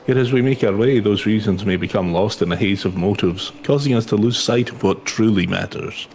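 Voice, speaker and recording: A man voicing a kindly, poetic wandering minstrel from a fantasy game in flowery, measured speech. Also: lowish voice, darkish tone, lightly acted. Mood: reflective, reassuring. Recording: music in the background.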